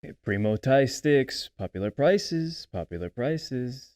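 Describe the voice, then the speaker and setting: A man's voice: medium pitch, big pitch swings, takes it slowly, a little mellow. A man acting an irritable, sarcastic weed dealer with slangy hustler patter at a table read. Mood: hustling, charming. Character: slick, irritable male street weed dealer.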